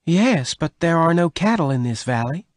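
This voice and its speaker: A man performs a gentle young woman from a storybook, pitched well up from a man's range. The delivery is timid and emotional, swinging widely in melody. The sound is dry and close. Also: lively intonation, moderate pace, no room sound, close to an everyday voice. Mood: alarmed. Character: gentle sad young woman, former rustlers' companion.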